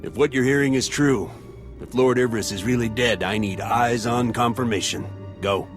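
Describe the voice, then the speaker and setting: An actor playing a zealous military captain and conspirator in a sci-fi video game cutscene. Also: male voice, medium pitch, normal speed, faint music behind, natural voice. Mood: commanding. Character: male military captain, zealous conspirator, rattled under threat.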